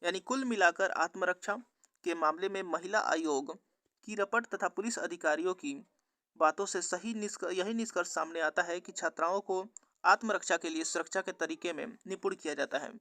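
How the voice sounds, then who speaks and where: A man's voice: high-pitched, normal speed, sharp tone, no echo. A man working through exam practice questions as a teacher, with an instructional, formulaic question-and-answer delivery. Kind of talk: scripted quiz walkthrough lesson.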